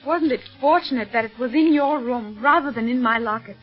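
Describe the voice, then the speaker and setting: A woman playing a gentle, refined, soothing young woman in a 1930s radio adventure serial, with polite, proper delivery. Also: on the high side, brisk, natural voice. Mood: gracious. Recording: muffled old radio recording with music.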